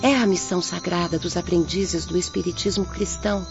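Actress as a gentle young Brazilian peasant woman, muffled recording. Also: lowish voice, fairly even intonation, music in the background, natural voice. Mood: devout. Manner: earnest, consoling, measured. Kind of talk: Portuguese-language radio drama acting. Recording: muffled lo-fi audio, night insects, noise.

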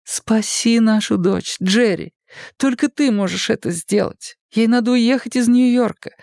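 A woman's voice: a little high, lively intonation, normal speed, a little mellow, no echo, clean studio sound, close to an everyday voice. A woman voicing an anguished wife and mother in a dramatised audiobook, tender but insistent as she emotionally implores. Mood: pleading, anguished.

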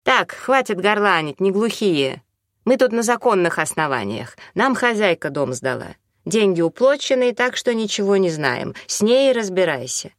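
A big, domineering older village woman from an audiobook, as a female reader puts her on. She scolds brusquely in folksy, colloquial speech and sounds gruff and combative, recorded dry and close. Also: medium pitch, sing-song, moderate pace, no echo, pitched above the performer's own voice, natural voice. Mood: indignant.

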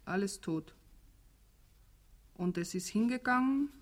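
A melancholy female figure in a radio play. She speaks slowly and solemnly, in plain simple phrasing, at a medium pitch for a woman. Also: animated. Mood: desolate, wistful. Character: melancholy woman telling a bleak fairy tale. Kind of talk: theatrical radio drama.

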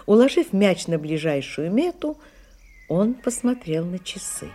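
A woman's voice: on the low side, sing-song, unhurried, warm tone, soft background music. A woman narrating a detective audio play as a composed storyteller, in a scripted dramatic style. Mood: measured narration.

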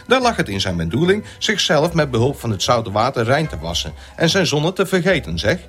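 An actor playing a jovial, pompous know-it-all plane passenger with an emphatic manner, in a satirical radio play. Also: male voice, mid-range voice, big pitch swings, brisk, fairly bright tone, soft background music. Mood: pompous, anecdotal. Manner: emphatic, storytelling.

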